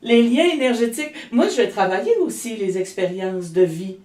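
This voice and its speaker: A woman's voice: medium pitch, animated, moderate pace, darkish tone, reverberant, recorded from a distance. A woman with a Québécois accent, a guest energy healer in a casual interview, talking in a rambling way with many restarts and fillers. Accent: Québécois French.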